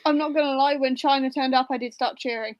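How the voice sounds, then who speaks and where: A woman's voice: high voice, steady tone, fast, no echo, dull audio. A woman talking casually as a panellist in a live book discussion.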